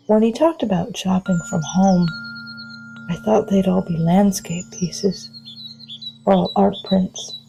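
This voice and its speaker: An actress playing a wild, wary young woman, speaking a reflective, hushed and halting monologue in an intimate urban fantasy audio drama. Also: on the low side, creaky, low-quality recording. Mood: musing, unsettled.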